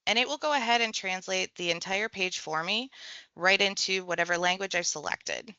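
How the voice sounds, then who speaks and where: A woman with a bright, forceful voice talks a viewer through a software tutorial one click at a time. Her delivery is casual and conversational. The recording is dry and a little dull. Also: mid-range voice, moderate pace, no echo. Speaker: tech trainer. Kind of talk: screen-share software tutorial.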